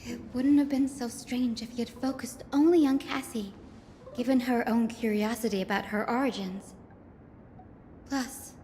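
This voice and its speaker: A woman's voice: sits high, darkish tone, slight background noise, close to an everyday voice. A woman voicing a curious young female adventurer in scripted video game story dialogue, speaking in an articulate, thoughtful, expository way. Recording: long reverb with game music behind. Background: game music.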